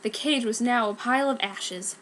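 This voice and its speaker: Female narrator, fairly high pitch, bright forceful tone, theatrical delivery, emphatic storytelling. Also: normal speed, slight background noise. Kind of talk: dramatic fan fiction reading.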